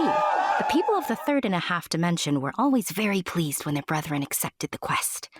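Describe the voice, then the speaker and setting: A woman's voice: fairly low pitch, husky, no room sound, studio quality. A woman narrating a scripted storybook tale with wry, playful asides to the listener.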